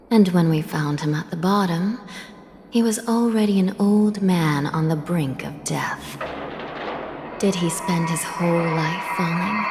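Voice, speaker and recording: A young female judge of the dead in a video game. She speaks slowly and formally, with solemn courtesy and a wistful, ominous edge. Her voice echoes in a long hall with clear music behind it. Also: on the low side. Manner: polite, measured, formal, ominous. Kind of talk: scripted video game dialogue.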